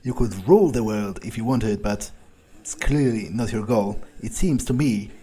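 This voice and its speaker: A man's voice: on the low side, normal speed, dark tone, slightly rough. A man thinking aloud in character as he plays a game, in an understated, musing way. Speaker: gameplay video creator.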